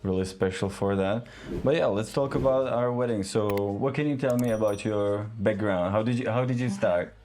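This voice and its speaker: A man's voice: fairly low pitch, moderate pace, a little mellow. A young man, a wedding videographer hosting a casual podcast, who keeps checking in with "right?". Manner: chatty, often checks with a "right?".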